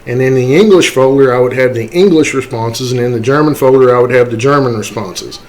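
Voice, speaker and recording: Man giving a casual software tutorial. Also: mid-range voice, brisk, slight background noise, poor audio. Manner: explanatory, often checking in with "okay" and "right". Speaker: tutorial instructor.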